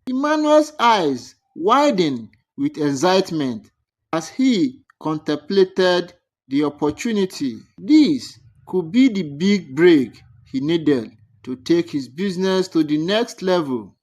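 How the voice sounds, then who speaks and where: Storyteller narrating a folktale, takes it slow, pitch moves up and down a lot. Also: big pitch swings, a little mellow.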